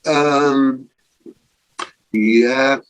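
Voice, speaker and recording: A man, a guest improviser, speaking casually and thoughtfully over a video call, with frequent pauses. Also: mid-range voice, fairly even intonation, clean tone, no echo. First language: not German. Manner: slow, thoughtful, pausing often.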